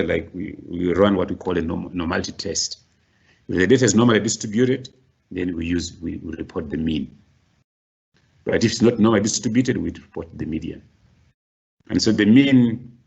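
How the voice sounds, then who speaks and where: A middle-aged male lecturer with a very low, gravelly and husky voice, working through a workshop slowly and repeating himself as he explains. The sound is muffled and lo-fi, like an online lecture recording. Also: darkish tone. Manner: explains slowly with many restarts and rising stresses.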